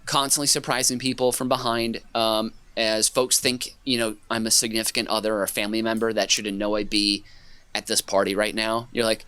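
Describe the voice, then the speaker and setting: A man's voice: lowish voice, flat delivery, a little fast, sharp tone, clean tone. A man co-hosting a comedy podcast, chatting casually in a rambling, self-mocking way with many fillers and restarts. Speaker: podcast co-host.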